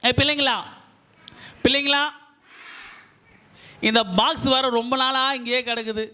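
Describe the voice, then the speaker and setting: A man's voice: very high-pitched, steady tone, unhurried, sharp tone, full character voice. A man voicing a bumbling male parcel courier puppet who whines, pleads and mutters complaints in a live puppet show. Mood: whiny.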